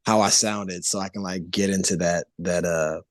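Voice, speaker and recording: A man in his thirties with a very deep, low voice, chatting as an interview guest. He rambles loosely, circling back with fillers and restarts. The sound is dry and close, with little room around it. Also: steady tone, normal speed, no room sound. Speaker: voice actor guest. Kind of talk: casual interview chat.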